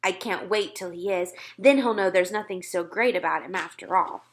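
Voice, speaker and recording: A woman reading a children's story aloud with expressive narration. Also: fairly low pitch, normal speed.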